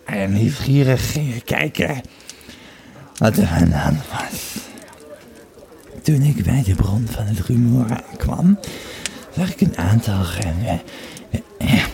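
An actor playing an old, tipsy Roman legionary who retells his war days in a rambling, halting, dramatic way for an audio play. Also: lowish voice, very animated, creaky, darkish tone, exaggerated voice. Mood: tipsy storytelling. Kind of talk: in-character storytelling in an audio play.

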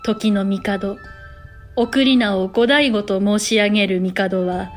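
A woman playing a refined noblewoman who narrates history in a period drama, formal, polite and measured. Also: mid-range voice, natural voice.

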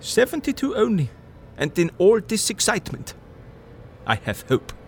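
An actor playing a fervent, devout older professor who speaks formal, stilted English in an audio drama. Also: male voice, high voice, wildly swooping pitch, normal speed, gravelly, faint music behind, exaggerated voice. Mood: eager. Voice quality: old-fashioned, stilted foreign-sounding phrasing. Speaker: vampire hunter professor.

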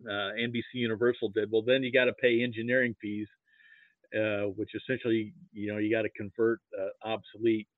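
Narrow phone-like audio, dry, american guy telling stories casually, halting, repeats himself. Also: on the low side, level tone, no room sound. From Nebraska, US. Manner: halting, repeats words as he starts sentences. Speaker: podcast guest, sports archivist. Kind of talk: casual anecdotal interview talk. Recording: muffled, phone-like narrow-band line.

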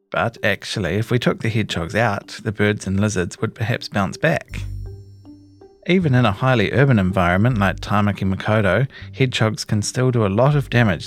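A man reading a scripted news feature as narrator. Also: lowish voice.

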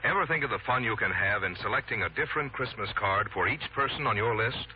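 A slightly noisy, muffled old radio recording presents a male announcer. His mid-range voice rises and falls in an animated way. He reads scripted copy with the polished, persuasive delivery of a 1940s commercial. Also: on the low side, fast. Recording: muffled old radio broadcast, faint noise.

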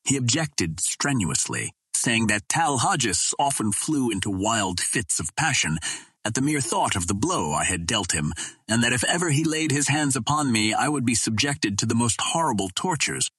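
A man reading a first-person adventure story as a dramatic, expressive audiobook narrator. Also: mid-range voice, moderate pace, husky, no room sound.

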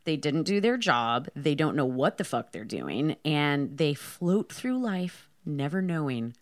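Woman, slightly low pitch, casual co-host chat, blunt, salty, anecdotal. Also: big pitch swings, measured pace. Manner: blunt, sweary, acts out remembered dialogue. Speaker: podcast co-host.

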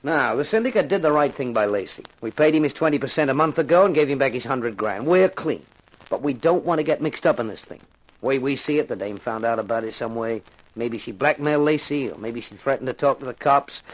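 An actor playing a genial middle-aged American syndicate boss who talks in blunt street slang, in a 1950s radio drama. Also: male voice, mid-range voice, expressive, fairly quick, close to an everyday voice, rough recording. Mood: blunt, explanatory. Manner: street slang, blunt, affably self-justifying.

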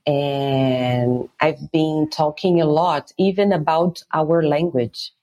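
Brazilian lady tour guide, talks real slow and careful, lowish voice, portuguese is her first language. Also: steady tone, dark tone, dull audio. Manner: measured, explanatory, searching for words. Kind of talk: interview guest explaining history.

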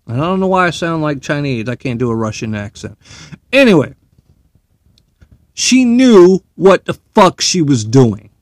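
A man's voice: on the high side, sing-song, a little slow, dry recording. A man, a military veteran, delivering a profane, sarcastic and heated opinion monologue.

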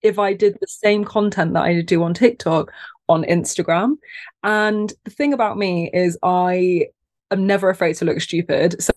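A woman guest on a podcast, talking casually in a soft, soothing voice, chatty and self-deprecating, laughing often. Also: lowish voice, fairly even intonation, normal speed, no room sound. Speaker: guest, content creator.

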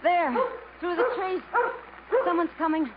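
A woman's voice: extremely high pitch, measured pace. An actress playing a worn-down, fatalistic woman on the run, wistful and wry, in 1950s radio drama style. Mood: wary, wry. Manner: wistful, wry, world-weary. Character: worn-down, bitter, fatalistic woman fleeing through the jungle. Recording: muffled, hissy 1950s radio broadcast.

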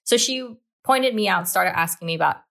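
A young woman from Hong Kong telling a story in a lively, chatty way. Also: medium pitch, moderate pace, vocal fry. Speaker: guest. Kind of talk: casual storytelling.

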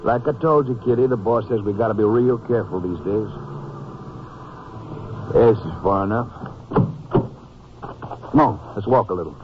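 A tinny, noisy old radio recording carries an actor playing a crime boss's henchman. His voice is low, with a casual manner laid over menace. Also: normal speed, pauses often, close to an everyday voice. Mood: falsely casual. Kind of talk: 1950s radio crime drama acting.

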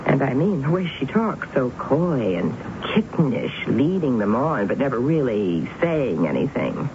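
An actress playing a tense, brooding middle-aged woman who narrates her own dark story in a 1970s radio drama. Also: rumbling low, very animated, unhurried, heavily acted. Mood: anxious. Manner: intense, brooding. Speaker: narrator and lead character. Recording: tinny, hissy old radio broadcast.

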